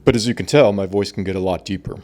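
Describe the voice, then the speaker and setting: A man talking through voice and breathing exercises in a relaxed, conversational way. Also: deep voice, quick pace. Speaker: voice coach. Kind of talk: instructional talk.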